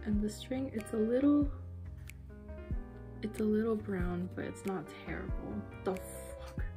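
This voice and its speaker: A woman's voice: medium pitch, animated, unhurried. Young woman, beauty vlogger, frank casual delivery with swearing, voice a bit worn, faint music bed. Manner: candid, swears freely, drops to whispers. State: losing her voice. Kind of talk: casual vlog chat.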